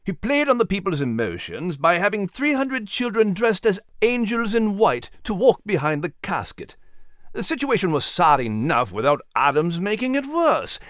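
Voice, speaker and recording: A man's voice: on the high side, wildly swooping pitch, unhurried, no room sound, clean studio sound. A man voicing a stern, irritable British army captain with a formal, clipped manner in a historical storybook. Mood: angry.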